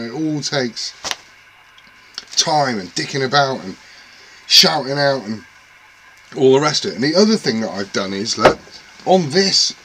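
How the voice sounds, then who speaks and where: A man's voice: mid-range voice, fairly bright tone, a little hiss or hum, poor audio. A man rambling casually and self-deprecatingly to camera about his radio gear at home. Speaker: CB radio hobbyist vlogger.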